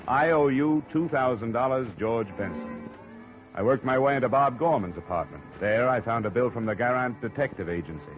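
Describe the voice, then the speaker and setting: A man's voice: mid-range voice, lightly acted. An actor playing a dry, wisecracking male insurance investigator who narrates a 1950s radio drama. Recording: dull old radio broadcast.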